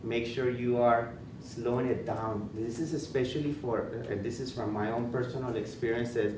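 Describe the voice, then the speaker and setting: A man's voice: mid-range voice, steady tone, normal speed. Spanish-accented man lecturing in an echoey room. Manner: repeats words, many fillers and restarts. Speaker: school principal giving interview advice. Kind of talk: informal workshop talk. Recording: echoey room, far from mic, noisy.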